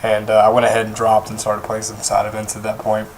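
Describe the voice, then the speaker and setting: A young man talks in a hoarse, worn voice, his pitch held flat and even. He rambles casually with frequent ums and restarts while going over a card deck. A faint hiss of room noise sits under him. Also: quick pace. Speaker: card game deck reviewer. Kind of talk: casual deck profile talk. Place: indoors at a table, off camera.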